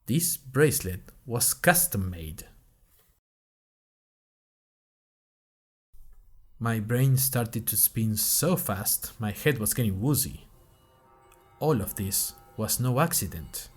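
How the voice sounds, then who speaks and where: A man playing a bemused university student who narrates a first-person audio diary in a dry, musing, deliberate way. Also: lowish voice, expressive, breathy edge, soft background music, full character voice. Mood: wry, musing. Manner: dry, wry, musing in short deliberate phrases. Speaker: narrator of a fiction podcast.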